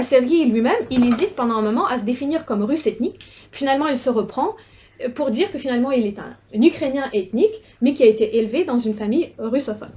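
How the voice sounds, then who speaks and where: Woman academic presenting, fairly high voice, measured pace, frequent filler pauses, faint background hiss. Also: darkish tone. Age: young adult. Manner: measured, often pausing on "hein" and "euh" and repeating words. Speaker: researcher presenting at a seminar. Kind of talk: academic seminar talk.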